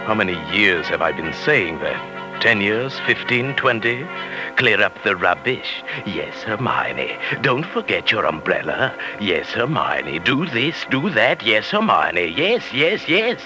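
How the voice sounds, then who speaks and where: An old radio drama recording of a henpecked, middle-aged professor. His voice is fairly low and very expressive, with deferential, hesitant phrasing and a quietly seething undertone. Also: unhurried. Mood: bitter, exasperated. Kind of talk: 1940s radio drama acting. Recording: old 1940s radio broadcast with music.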